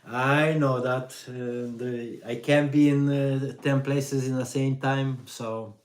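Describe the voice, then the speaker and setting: Middle-aged Hungarian-accented male host, slow and easygoing, live stream Q&A. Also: mid-range voice. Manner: slow, relaxed, pauses with fillers. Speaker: vanlife channel host. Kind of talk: live stream Q&A reading chat.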